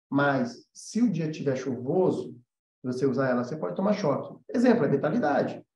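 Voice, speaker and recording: A Brazilian man gives an informal online lesson as a teacher and mentor. His voice is mellow and relaxed, and he explains things conversationally, often checking that listeners follow. The recording is roomy and echoing, with a slightly dull, muffled sound. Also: high voice. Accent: Brazilian Portuguese.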